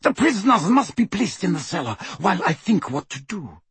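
A haughty lady of a wizarding house, voiced by a man in a storybook reading. The tone is indignant and commanding, lifted high, with a very husky edge and a dry, close sound. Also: very animated, fairly quick, no room sound, exaggerated voice.

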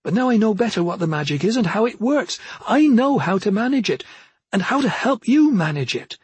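A male narrator plays a young woman in a fantasy tale, an earnest and determined sister. The voice sits well above a man's usual pitch and sounds imploring. It is recorded dry and close. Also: very animated, fairly quick, slightly rough, dry recording, full character voice. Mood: earnest pleading. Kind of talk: fantasy audiobook character acting.

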